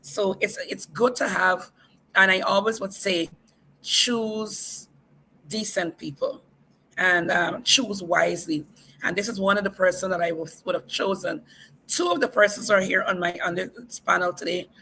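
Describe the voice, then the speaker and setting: Bright-voiced upbeat talk-show host. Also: mid-range voice, measured pace, vocal fry, slight background noise, low-quality recording. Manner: upbeat, repeats calls to share the stream. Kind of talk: hosting a livestream talk show.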